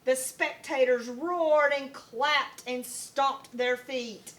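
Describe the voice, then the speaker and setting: A woman with a very bright, fairly high voice reads a children's story aloud. She takes her time and tells it expressively, like a first grade teacher. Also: steady tone, takes it slowly. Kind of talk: children's picture book read-aloud.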